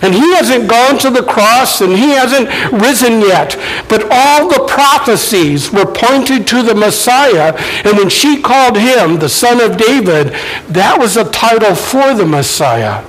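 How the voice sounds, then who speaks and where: A male pastor preaching a sermon in a conversational, deliberate way. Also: very high voice, lively intonation, a bit bright, low-quality recording.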